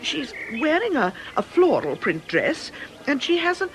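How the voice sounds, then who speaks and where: Polite, animated British deaconess. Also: female voice, sits high, measured pace, noisy background, exaggerated voice. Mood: anxious, hesitant. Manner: polite, hesitant. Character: polite, earnest, hesitant church deaconess. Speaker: actor in radio drama. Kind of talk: British radio drama acting.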